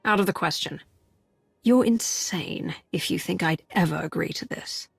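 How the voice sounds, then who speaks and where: An actress voicing a calm, caring and resolute female military commander in a video game, speaking in a measured way. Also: fairly low pitch, vocal fry, breathy edge, no echo, soft background music, heavily acted. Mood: firm. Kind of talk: video game cutscene acting.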